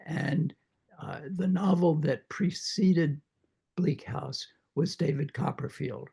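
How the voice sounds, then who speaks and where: Older male lecturer, husky and croaky, slightly high voice, halting delivery. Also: dark tone. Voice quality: croaky after throat surgery. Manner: halting, many uhs and restarts. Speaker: literature professor leading a reading group. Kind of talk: informal lecture.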